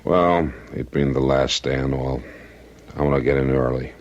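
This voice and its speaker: An actor playing a weary, stubborn middle-aged policeman and husband in an old-time radio drama, plain-spoken, gravelly and husky. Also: rumbling low, fairly even intonation, moderate pace, slight background noise. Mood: subdued, resolute. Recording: dull, lo-fi radio recording.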